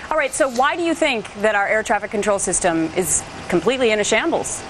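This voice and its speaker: A woman's voice: mid-range voice, animated, a little fast, a bit bright, a little hiss or hum, rough recording. An American woman speaking as a television news anchor, putting questions in a broadcast interview.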